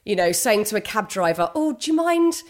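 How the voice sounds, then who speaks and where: A middle-aged woman talking candidly as an interview guest, earnest and a little husky, often restarting her sentences. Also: mid-range voice, big pitch swings, brisk. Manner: candid, earnest, with frequent restarts and quoted inner thoughts. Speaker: guest author and broadcaster. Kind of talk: conversational interview.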